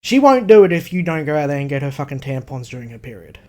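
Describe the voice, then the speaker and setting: A man's voice: on the high side, big pitch swings, fast, a little mellow. An Australian man co-hosting a podcast, bantering casually with a blunt, emphatic delivery and plenty of swearing. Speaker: podcast co-host. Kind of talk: casual banter between mates.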